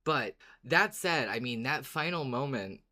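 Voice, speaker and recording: A podcast co-host chatting casually about a favourite show in an animated, enthusiastic way, swearing freely. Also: mid-range voice, unhurried. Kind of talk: casual fan discussion chat.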